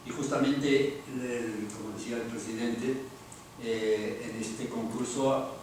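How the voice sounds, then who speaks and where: A man's voice: mid-range voice, fairly even intonation, slow pace. Male interviewer, chatty, lots of follow-ups, distant mic, noisy echoey hall. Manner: chatty, asking follow-up questions. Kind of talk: interview questions. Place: large room at an award ceremony.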